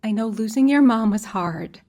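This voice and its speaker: An actress playing a falsely cheery, brisk stepmother in a radio drama. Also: fairly high pitch, lively intonation, darkish tone. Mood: coaxing, cheery.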